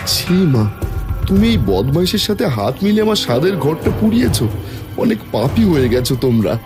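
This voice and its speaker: A man playing a humble, earnest poor villager in an animated folk tale, speaking with dramatic feeling. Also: on the high side, normal speed, over music. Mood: reproachful.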